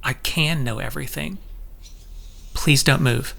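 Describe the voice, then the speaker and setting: Man acting possessive telepath lover, insistent, coaxing, manipulative, medium pitch, audio drama. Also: a little slow, creaky, pushed and bright, exaggerated voice. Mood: wounded, insistent.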